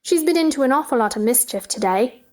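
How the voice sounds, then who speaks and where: A woman's voice: high voice, lively intonation, fast talker, darkish tone. A woman voicing a chatty, curious little girl with an eager, inquisitive manner in a storybook play reading. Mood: wondering, eager.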